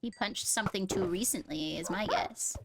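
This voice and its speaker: A woman's voice: mid-range voice, moderate pace, a crowd in the background. A woman chatting casually during a gaming roleplay session. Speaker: Minecraft roleplay player.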